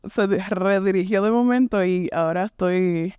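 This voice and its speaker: Puerto Rican young woman, smooth and dry. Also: mid-range voice, normal speed, a little mellow, dry recording. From Puerto Rico. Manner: chatty, uses fillers and drops English words into her Spanish. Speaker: podcast guest, church worship resident. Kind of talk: casual podcast chat.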